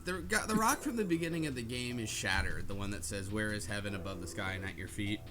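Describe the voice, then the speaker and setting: A man's voice: lowish voice, expressive, quick pace, a loud crowd. A man speaking in an informal, rambling way in a casual group discussion. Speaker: book club podcast panelist. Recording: music playing behind the voice.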